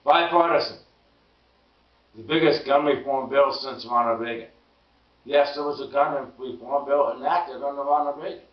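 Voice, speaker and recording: A hoarse man who stammers and repeats words, giving an emphatic casual political monologue. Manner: emphatic, rising pitch when indignant. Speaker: political commentary vlogger. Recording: roomy distant lo-fi sound.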